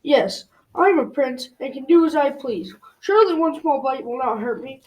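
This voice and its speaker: A woman's voice: high-pitched, animated, normal speed, voice pushed up, rough recording. A young female student playing a proud, bold prince knight in an amateur school radio drama, declaiming emphatically. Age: child or teen.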